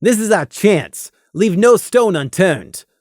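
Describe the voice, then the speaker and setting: A woman's voice: mid-range voice, animated, slow, darkish tone, no echo, clean studio sound, voice pushed up. A woman voicing a burdened male pony sergeant with a brusque, commanding, clipped way of talking in an audio story.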